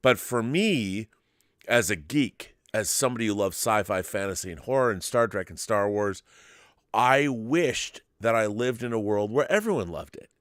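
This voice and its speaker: A man's voice: on the low side, lively intonation, moderate pace, a bit bright, no room sound, studio quality. A middle-aged man hosting a talk show, giving his opinions emphatically in casual discussion and often repeating words as he gets going.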